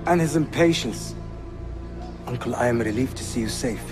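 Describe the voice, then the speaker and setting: Male actor as young royal, mid-pitched, composed, shrewd, authoritative, game cutscene, light music behind. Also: moderate pace, close to an everyday voice. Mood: firm, courteous. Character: poised, shrewd young Ottoman prince. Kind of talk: video game cutscene acting.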